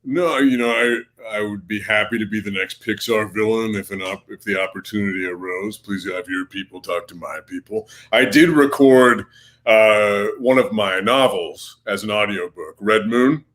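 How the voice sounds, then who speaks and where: A man guests on an interview podcast, talking casually about his work. His voice runs somewhat low. He jokes easily and often makes light of himself. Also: normal speed, a bit bright. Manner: self-deprecating, jokey. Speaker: comic book writer, guest.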